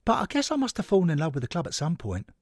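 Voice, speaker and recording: A man's voice: a little high, huge pitch swings, racing, a little mellow, dry recording, studio quality. A man voicing an earnest, literal-minded and sentimental male football fan devoted to his partner in an audio story.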